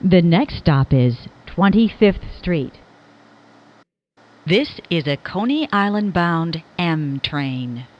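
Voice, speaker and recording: A woman with a slightly low voice delivers formal recorded subway announcements. Her pace is very slow and measured, each word clearly enunciated, with lively rises and falls in pitch. The recording sounds dull and lo-fi. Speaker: automated subway train announcer. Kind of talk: scripted transit announcement.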